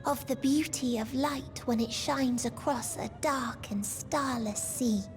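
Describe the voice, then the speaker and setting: Gentle otherworldly game girl, high-pitched, breathy, sorrowful yet hopeful, unhurried poetic delivery, music behind. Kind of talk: scripted video game cutscene acting.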